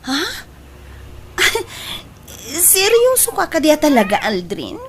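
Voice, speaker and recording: An actress with an Ilocano accent playing a coy, teasing young woman in a radio drama, with playful, drawn-out delivery. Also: high voice, huge pitch swings, full character voice. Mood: coy, tender.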